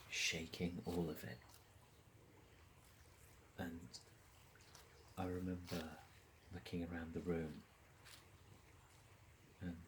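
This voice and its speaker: Deep, slow, haunted young male lead for a film drama, halting and brooding, played by a man; faint background noise. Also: rough recording. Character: anguished young man losing his colour vision. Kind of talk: short film drama acting, introspective monologue.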